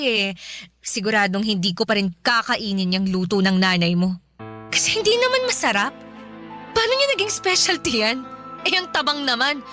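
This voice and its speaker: High-pitched, snobbish young girlfriend. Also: full character voice. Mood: disdainful. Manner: bratty, sarcastic, sharp-tongued. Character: blunt, snobbish, easily irritated young girlfriend. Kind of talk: Filipino radio drama acting.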